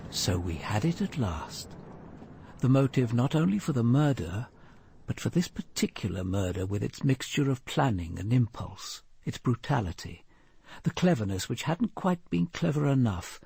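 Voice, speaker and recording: An actor playing a brooding, analytical senior British detective in a radio drama, speaking in a grave, measured and deliberate way. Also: male voice, mid-range voice, sing-song, creaky, darkish tone, breathy edge, full character voice. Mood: grave, reflective. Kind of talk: radio drama acting, reflective narration.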